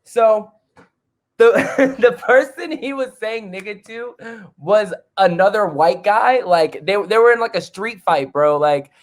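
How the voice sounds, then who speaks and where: Man, high-pitched, casual podcast guest, blunt, swearing freely. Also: a little slow. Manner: blunt, profane, animated. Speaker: guest musician.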